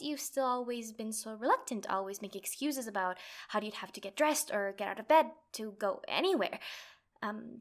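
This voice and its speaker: A woman playing a nervous, lovestruck and clingy yandere girlfriend in a comedic roleplay, halting and rambling as she talks. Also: fairly high pitch. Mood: nervous, caring.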